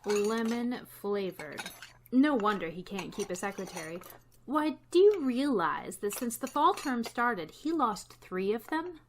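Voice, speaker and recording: A woman's voice: on the high side, big pitch swings, a little slow. A woman playing a wry, long-suffering schoolteacher in old-time radio comedy, with a dry, wisecracking delivery. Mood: wry complaint. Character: wry, long-suffering female high school English teacher. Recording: noticeable noise with clicks.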